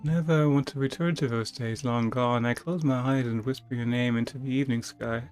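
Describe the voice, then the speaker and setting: A man voicing a wistful diary narrator in a dramatic reading of a text adventure, sentimental and measured. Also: medium pitch, fairly even intonation, a little mellow, faint music behind, lightly acted. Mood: wistful, nostalgic.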